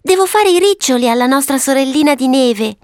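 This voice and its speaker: A woman voicing a sweet, eager, bossy little girl in a storybook, earnest and excitable as she gives instructions. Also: sits high, brisk, no room sound, studio quality, voice pushed up. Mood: happily instructing. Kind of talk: storybook character voice.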